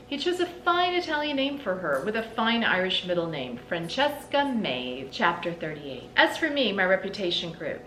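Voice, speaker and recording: A middle-aged woman reading a storybook aloud expressively, with deliberate phrasing and dramatic emphasis. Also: a bit bright. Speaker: read-aloud host. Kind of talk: storybook read-aloud narration. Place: indoors in a small room lined with bookshelves. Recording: roomy echo, clear background rumble.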